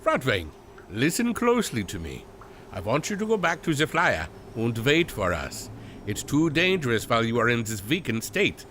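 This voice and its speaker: A fussy, tender-hearted German inventor acted by a man in an adventure audio play. The German-accented speech swings widely in pitch, with slight mechanical noise behind it. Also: huge pitch swings, normal speed, fairly bright tone. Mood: fond, concerned. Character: male German robot inventor, fond and fussy. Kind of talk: audio drama acting.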